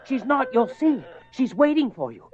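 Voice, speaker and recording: High-pitched, desperate, pleading husband. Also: male voice, very animated, moderate pace, music in the background. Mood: soothing, pleading. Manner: intense, imploring, emotional. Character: desperate, pleading husband confronting a possessing spirit. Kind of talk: horror radio play acting. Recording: muffled lo-fi radio drama recording.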